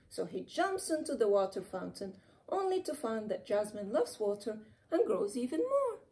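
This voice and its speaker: A woman reading a children's storybook aloud in an expressive, dramatic way with playful emphasis. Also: high voice. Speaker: children's author reading her own book aloud. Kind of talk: animated storybook read-aloud.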